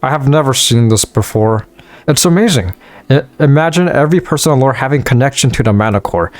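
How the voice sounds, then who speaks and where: Man voicing wise tower mage, measured, plain, mid-pitched. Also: animated, dry recording, close to an everyday voice. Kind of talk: reading game dialogue aloud.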